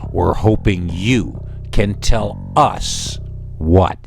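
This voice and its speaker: A man's voice: sits low, extremely slow, vocal fry, over music. A man with an American accent narrating a scripted scary story as a podcast host.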